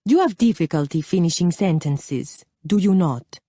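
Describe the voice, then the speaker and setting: A woman playing a dashing, self-assured foreign baron with a foreign accent and a careful, deliberate, teasing delivery. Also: fairly low pitch, lively intonation, dark tone, dry recording, clean studio sound. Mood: teasing, probing.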